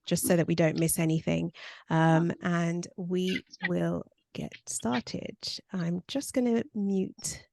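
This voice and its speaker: A woman's voice: on the low side, dry recording. A woman, presenting a career workshop over a video call in a conversational way with frequent ums. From born in Nigeria, raised in South London.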